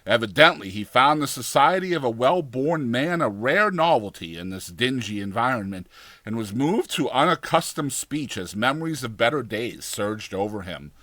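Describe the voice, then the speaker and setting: A man reading a gothic horror story as a dramatized audiobook, with deliberate, theatrical delivery and formal, dramatic pauses. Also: mid-range voice, animated, pushed and bright, dry recording. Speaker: audiobook narrator.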